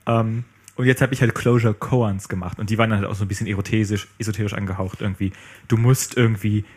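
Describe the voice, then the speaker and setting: Husky-voiced man, rambling casual chat. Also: lowish voice, steady tone, quick pace. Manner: rambling, playful, many fillers.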